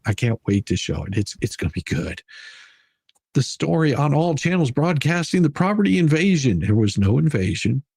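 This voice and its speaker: A man hosting a live stream, giving emphatic, argumentative commentary with a husky voice and reading passages from a document aloud. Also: medium pitch, big pitch swings, moderate pace, a little mellow, dry recording, clean studio sound.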